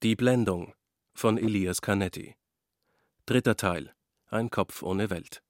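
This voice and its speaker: A man's voice: lowish voice, takes it slowly, no echo, studio quality. A man reading a formal scripted announcement as a radio announcer.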